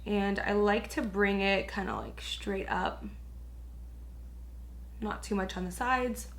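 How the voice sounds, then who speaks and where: A young woman chatting through a makeup tutorial with a raspy, hoarse voice, gushing and peppering her talk with filler words. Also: medium pitch, slow pace, slight background noise. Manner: gushing, chatty, lots of "like" and "you guys". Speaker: beauty vlogger. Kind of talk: casual makeup tutorial chat.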